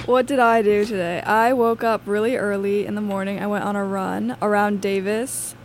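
A woman's voice: medium pitch, flat delivery, moderate pace, a little hiss or hum. A young woman from Los Angeles, a student radio co-host chatting in a bubbly, enthusiastic way. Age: young adult. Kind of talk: casual chat.

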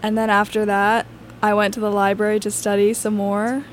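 A woman's voice: mid-range voice, completely flat delivery, normal speed, a little hiss or hum. A young woman from Los Angeles, a student radio co-host chatting in a bubbly, enthusiastic way. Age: young adult. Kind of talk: casual chat.

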